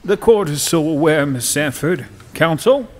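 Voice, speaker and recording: A man acting as a stern Chief Justice in a courtroom audio drama, formal, measured and authoritative. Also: on the high side, animated. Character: stern, stately male Chief Justice presiding over court.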